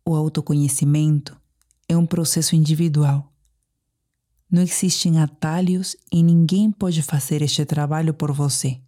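A woman with a Brazilian accent guides a sleep meditation. Her voice sits low for a woman and has a dark, relaxed tone. She phrases things deliberately and soothingly, with gentle pauses.

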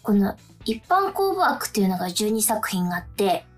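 A woman's voice: medium pitch, very animated, normal speed, faint music behind. A woman co-hosting a radio talk show in a studio, explaining things in a chatty, upbeat way. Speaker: radio co-host.

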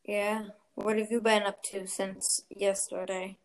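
A woman's voice: medium pitch, flat delivery, unhurried, poor audio. A young woman hosting a casual chat podcast, talking chattily with lots of fillers and giggles. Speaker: podcast host.

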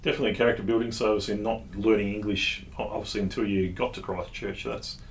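A man hosting a casual podcast interview, speaking in a halting way with repeated words. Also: on the low side, fairly even intonation, a little hiss or hum. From Tasmania, Australia. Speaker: podcast host.

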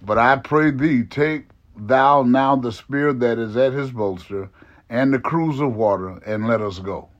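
A man's voice: medium pitch, a little slow, muffled recording, natural voice. A man reading the lines of an earnest young warrior pleading with a king, his voice a little raised.

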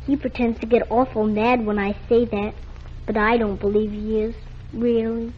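A high, girlish voice with a faint huskiness belongs to an eager nine-year-old girl. She chatters to her doctor in 1940s radio-drama style. The sound is muffled like an old broadcast. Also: flat delivery, moderate pace, husky. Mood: chatty.

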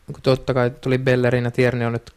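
Gravelly-voiced man analysing football. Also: medium pitch, completely flat delivery, darkish tone. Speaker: football podcast panelist. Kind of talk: casual sports analysis.

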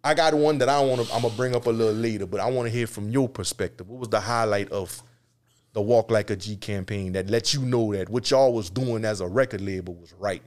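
A male host keeps the interview moving in easy street slang. He chimes in constantly with quick affirmations and asks long, winding questions. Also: fairly low pitch. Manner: animated, slangy, frequent affirmations. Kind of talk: casual interview chat.

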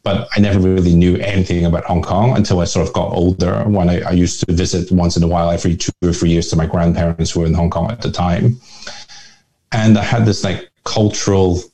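A man's voice: deep voice, fairly even intonation, fairly quick, mellow. A man from Northern Ireland, a guest investor, telling his story in a reflective, conversational way and often repeating words while he thinks.

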